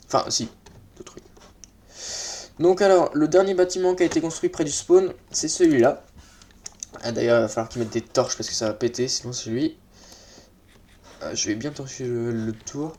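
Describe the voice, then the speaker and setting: A man's voice: mid-range voice, a little mellow. A man with a slightly stuffy, congested voice giving casual, hesitant gameplay commentary, often muttering to himself. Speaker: let's play host. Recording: noisy mic with keyboard clicks.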